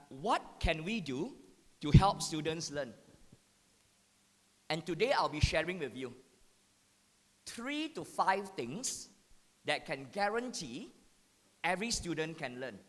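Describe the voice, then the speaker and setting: A man's voice: on the high side, sing-song, measured pace, pauses often, a bit bright. A man with a Singaporean accent giving a talk to teachers, repeating key points and checking in with the audience with "yeah?". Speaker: education speaker. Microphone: handheld mic. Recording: hall with long reverb.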